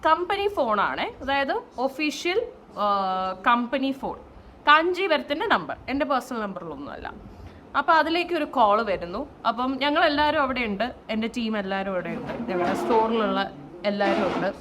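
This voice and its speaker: A woman telling a story in a casual, animated vlog style, mixing in English phrases. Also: on the high side, normal speed. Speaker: vlogger and saree store owner. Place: at home, indoors. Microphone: no external microphone, camera's own mic. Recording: faint noise, no microphone.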